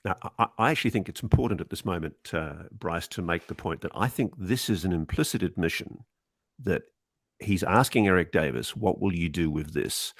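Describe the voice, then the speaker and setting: A man co-hosting a podcast, discussing a document conversationally with deliberate, emphatic phrasing. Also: sits low, animated, dry recording, clean studio sound. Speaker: podcast co-host.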